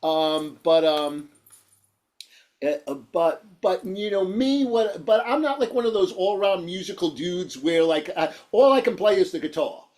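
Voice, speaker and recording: A man with a high, nasal voice and a New York accent. He talks casually like a musician being interviewed, stumbling over words, repeating them and padding his rambling sentences with filler phrases. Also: a little slow, darkish tone. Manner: rambling, stammering, repeats words and says "you know" often. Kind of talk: casual chat.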